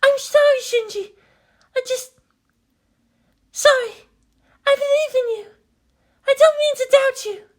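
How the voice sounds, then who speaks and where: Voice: very high voice, unhurried, pauses often, voice pushed up. A narrator voicing an anguished young woman, fierce and pleading, in an emotional audiobook-style story reading.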